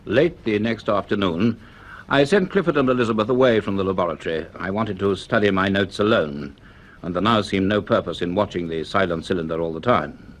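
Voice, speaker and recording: An actor playing a grave, cultured middle-aged professor in a vintage radio drama, speaking in a measured, dramatic way. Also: male voice, low voice. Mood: ominous, reflective. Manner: measured, deliberate, dramatic delivery. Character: grave, cultured male professor narrating his dreadful discovery.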